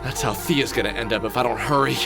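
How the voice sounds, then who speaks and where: A man's voice: medium pitch, fast, vocal fry, lightly acted. A man voicing a wry, protective middle-aged adventure game hero who often mutters expressively to himself. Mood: urgent, worried. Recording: background music under the voice.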